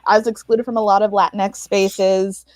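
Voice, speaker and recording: A woman's voice: medium pitch, little pitch movement, normal speed, a little mellow. A woman on a casual panel, chatting and often laughing, with plenty of fillers.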